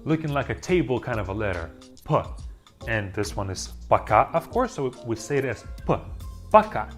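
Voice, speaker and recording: A dubbed voice teaching beginner vocabulary in a slow, scripted lesson style, repeating each word for learners. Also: medium pitch, sing-song. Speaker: language teacher. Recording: auto-dubbed voice with faint music.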